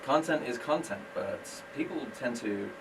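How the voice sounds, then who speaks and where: A man's voice: medium pitch, animated, moderate pace, far from the mic, background noise. A British man, a business-owner guest on a radio talk show, explaining his work in a friendly, self-deprecating way. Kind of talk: casual radio interview.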